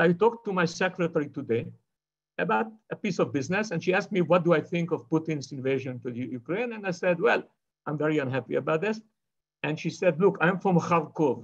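An older man from Israel giving an online lecture from his study, talking in a discursive way with many fillers and restarts. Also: fairly high pitch. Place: home study with bookshelves. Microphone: video call webcam. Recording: dull lo-fi video call audio.

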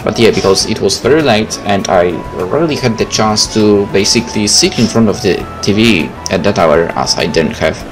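A man's voice: fairly low pitch, expressive, normal speed, hardly any pauses. A man, a native Polish speaker, hosting a game let's play, reading aloud and commenting casually. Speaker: gaming video host. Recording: faint game music behind.

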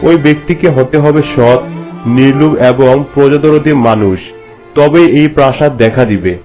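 A man's voice: medium pitch, moderate pace, darkish tone, lightly acted. A man playing a reverent royal courtier in a cartoon, explaining things formally and respectfully to his queen. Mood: respectful, solemn. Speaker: storytelling courtier. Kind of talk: scripted cartoon dialogue in formal narration. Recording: music bed under the voice. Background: music.

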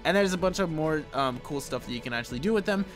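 A man with a slightly raspy voice giving casual, chatty gameplay commentary as a video host, often circling back with little asides. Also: on the high side, lively intonation, fast talker. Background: game music.

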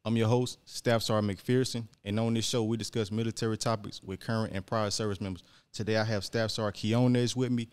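A man's voice: on the low side, little pitch movement, a little fast, few pauses, clear, resonant tone. Laid-back male podcast host. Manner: laid-back conversational questions. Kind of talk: casual interview. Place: small studio room. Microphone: desk mic on boom, headphones.

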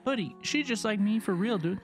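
A woman's voice: medium pitch, expressive, fairly quick. A young woman giving casual, slangy reaction commentary with enthusiasm, repeating words for emphasis into a close microphone. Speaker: reaction video host. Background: video game trailer music.

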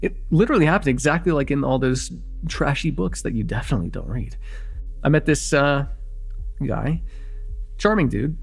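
A young man playing an excitable vampire in an immersive roleplay, casual and halting, insistent and teasing as he repeats himself. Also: mid-range voice, vocal fry, breathy edge, soft background music. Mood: agitated, insistent. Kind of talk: immersive ASMR roleplay acting.

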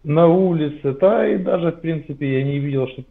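A man's voice: fairly high pitch, fairly quick, gravelly. A man in his forties in a casual debate, arguing his point insistently over a headset in a small room. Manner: insistent, explaining. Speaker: video chat guest. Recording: tinny lo-fi video call.

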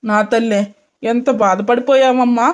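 A woman's voice: fairly high pitch, steady tone, normal speed, a little mellow, natural voice. A woman voicing a tender, doting elderly grandmother in a story, with an affectionate, lamenting manner. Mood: tender, hurt.

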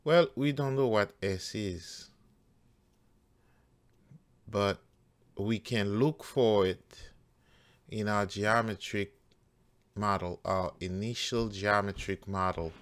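A man working through a math problem step by step as a teacher, deliberate and halting phrase by phrase. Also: fairly low pitch. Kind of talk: step-by-step worked-problem tutorial.

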